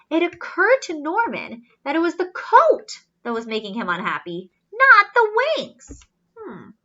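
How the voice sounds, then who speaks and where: A woman's voice: sits high, highs cut off. A woman reading a children's story aloud expressively, adding simple questions and asides for young listeners. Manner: expressive, questioning, with gasps and drawn-out emphasis.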